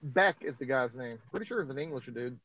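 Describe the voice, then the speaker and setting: A man's voice: medium pitch, lively intonation, fairly quick, a bit bright, no echo, highs cut off. Chatty man explaining things step by step. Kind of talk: casual live stream chat. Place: Texas.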